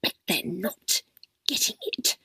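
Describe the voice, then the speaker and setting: A woman voicing a frail, confused elderly lady who speaks in a hushed, breathy whisper. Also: medium pitch, very animated, slow, no room sound, clean studio sound, heavily acted. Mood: agitated.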